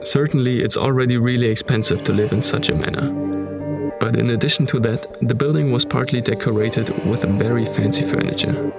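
A man with a German accent narrating a documentary about an abandoned manor in a calm, measured tone. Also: mid-range voice, loud music behind the voice. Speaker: narrator of urban exploration videos.

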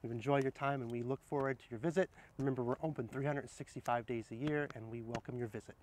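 A middle-aged man speaking outdoors, sharing picture books in a gentle, explanatory way and addressing viewers directly. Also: medium pitch, fairly even intonation, quick pace, slight background noise, rough recording. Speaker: horticulturist presenting children's books. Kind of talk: picture-book read-aloud and casual book recommendations. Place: outdoors under trees in an arboretum garden.

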